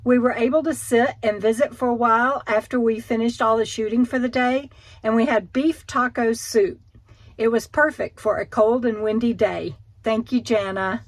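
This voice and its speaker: A woman narrates her vlog in a chatty, friendly storytelling way. Her voice is a little high. The recording is dry, with no room sound. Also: steady tone, moderate pace, dry recording. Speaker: vlogger narrating. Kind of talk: voice-over narration.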